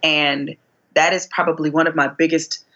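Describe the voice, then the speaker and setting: A woman in her forties, a singer being interviewed, telling her story expressively, her voice climbing high whenever she relives a moment. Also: level tone, moderate pace, a bit bright, muffled recording. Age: 40s. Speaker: guest singer.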